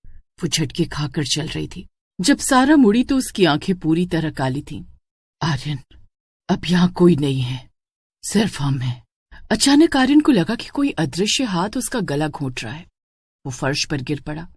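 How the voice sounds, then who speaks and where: A woman's voice: lowish voice, a little mellow, no echo, studio quality. A woman giving a dramatic scripted narration of a horror story.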